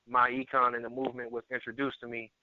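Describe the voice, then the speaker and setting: A man's voice: medium pitch, completely flat delivery, slight background noise. Man on a tinny phone line. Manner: earnest, halting, repeats words. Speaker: guest, network marketer and area manager. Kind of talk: conversational testimonial on a conference call. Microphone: telephone conference call.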